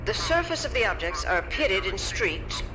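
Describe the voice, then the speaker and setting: A woman's voice: medium pitch, very animated, normal speed, heavy background noise, natural voice. A woman acting in a radio drama as a government scientist who advises in a factual, precise way, uneasy under pressure. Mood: tense, factual. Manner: precise, reporting, hedged. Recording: music bed under the voice.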